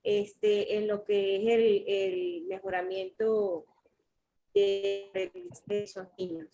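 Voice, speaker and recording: Woman, from Venezuela, teacher, slow speech, fillers, faint guitar behind. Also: mid-range voice, animated, gravelly, warm tone, low-quality recording. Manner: slow, uses fillers like "este". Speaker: graduate student and teacher. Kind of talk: casual class participation.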